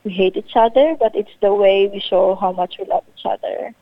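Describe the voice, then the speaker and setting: A woman's voice: mid-range voice, normal speed, dry recording. A woman in her thirties from the Philippines with a Filipino accent, chatting casually in an interview with many fillers and frequent laughs. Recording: tinny phone line.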